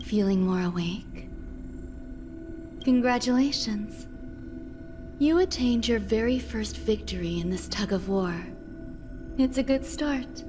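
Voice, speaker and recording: A woman playing a composed, mysterious healer in a game cutscene, speaking in a measured, detached, businesslike way. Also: fairly high pitch, expressive. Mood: cool, composed. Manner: measured, detached, matter-of-fact. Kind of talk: dramatic video game cutscene dialogue. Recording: music under the voice.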